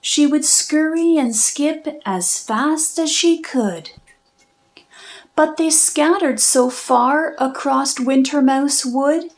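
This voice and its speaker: A woman reading a children's storybook aloud, narrating expressively in measured, rhythmic rhyme. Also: high voice. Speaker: children's book reader and host.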